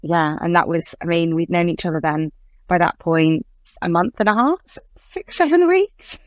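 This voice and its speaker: Chatty English woman, lowish voice. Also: expressive, normal speed, no echo. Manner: chatty, fills with "like" and "I guess". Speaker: podcast guest. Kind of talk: casual interview chat.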